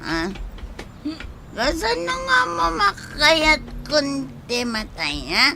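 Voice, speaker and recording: A woman's voice: high voice, huge pitch swings, very slow, vocal fry, noisy background, exaggerated voice. A woman playing a grieving, drunken, bitter young woman in an audio drama, with an Ilocano Filipino accent and a tearful, wailing drawl. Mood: drunk, defiant. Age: young adult. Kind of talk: melodramatic audio drama acting.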